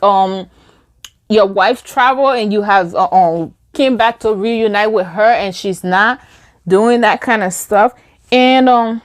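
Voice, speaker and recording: A young woman with a Liberian English accent telling a story while doing her makeup, acting out quoted lines in a higher voice. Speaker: beauty vlogger.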